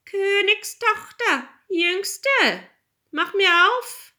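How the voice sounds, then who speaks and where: Storybook frog, acted by a woman: male creature, high-pitched, demanding, pleading. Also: animated, a little slow, bright, forceful tone, heavily acted. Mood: calling out. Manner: insistent, demanding. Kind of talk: fairy tale storytelling.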